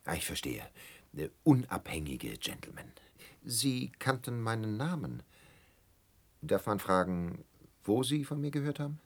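Husky, whining, volatile barkeeper. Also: male voice, on the low side, sing-song, a little slow, heavily acted. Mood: servile, wary. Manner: whining, self-pitying, ranting. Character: whining, resentful hotel barkeeper, obsequious yet vengeful man. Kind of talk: German radio drama acting.

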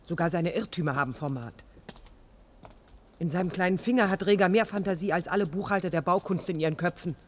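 A woman's voice: lowish voice, a little fast, a little hiss or hum, lightly acted. An actress plays an outspoken young woman architect in a 1980s radio drama. She argues with passion, combative and articulate, defiant toward authority. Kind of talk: 1980s radio play dialogue.

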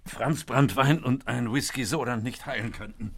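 Actor as a forceful, unflappable police inspector. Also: male voice, mid-range voice, normal speed, a little hiss or hum, close to an everyday voice. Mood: dry, unruffled. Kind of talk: radio drama acting.

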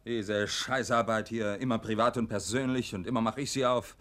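An actor playing an embittered, sardonic mailroom clerk in a wartime radio drama, with a clipped, blunt delivery. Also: male voice, on the low side, steady tone, normal speed, fairly bright tone, no echo, close to an everyday voice. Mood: grumbling.

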